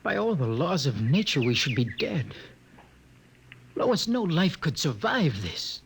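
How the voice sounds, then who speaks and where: Earnest young American husband, swooping pitch. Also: male voice, big pitch swings, a little slow. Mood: astonished. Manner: earnest, dramatic. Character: young husband escaping underground, awed, tender, anxious. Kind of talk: 1950s radio drama acting. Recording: noisy 1950 radio transcription.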